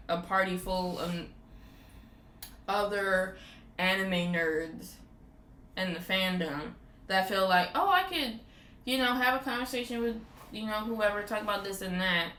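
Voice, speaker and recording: A woman's voice: mid-range voice, moderate pace, sharp tone. A woman hosting a casual podcast chat, rambling with lots of "like" fillers. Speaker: podcast host. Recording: roomy echo with faint background noise.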